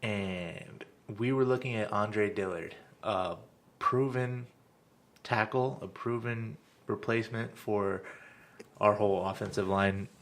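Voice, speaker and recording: Gravelly low-voiced man joking. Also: takes it slowly. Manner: joking, loud and playful. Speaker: podcast co-host. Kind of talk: casual sports chat.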